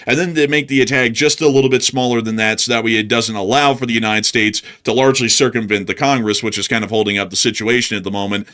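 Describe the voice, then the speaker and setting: A man's voice: lowish voice, a bit bright. A man co-hosting a live news stream, giving analysis in long, explanatory sentences. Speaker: co-host analyst.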